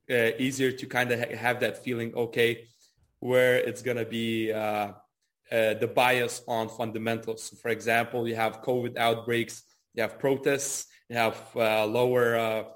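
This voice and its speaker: Man, early twenties, medium pitch, casual interviewee, repetitive phrasing, "kinda" and "you know". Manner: repeats words, frequent "kinda" and "you know". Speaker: trader and trading educator being interviewed.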